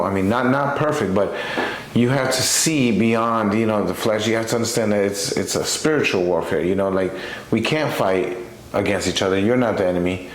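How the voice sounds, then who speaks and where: A man co-hosting a podcast, talking conversationally and earnestly about faith, repeating phrases for emphasis. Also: fairly low pitch. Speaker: podcast co-host. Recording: echoey room with faint background noise.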